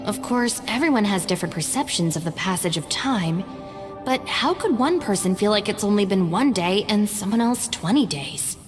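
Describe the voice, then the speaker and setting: A woman playing a composed, serious and cautious game character who speaks in a measured, formal manner. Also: mid-range voice, expressive, long reverb tail, over music, lightly acted. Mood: pensive. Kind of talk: video game voice acting.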